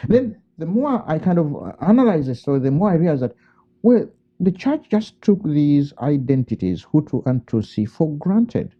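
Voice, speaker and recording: Animated man, muffled line. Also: on the high side. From Uganda. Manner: thoughtful, halting restarts, rhetorical questions. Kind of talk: reflective interview answers. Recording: muffled lo-fi call audio.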